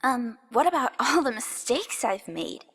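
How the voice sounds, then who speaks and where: A woman's voice: fairly high pitch, big pitch swings, measured pace, fairly bright tone, husky, heavily acted. A woman voicing an anxious young pony princess in a fan radio drama, polite and hesitant, with long reverb. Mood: worried, earnest.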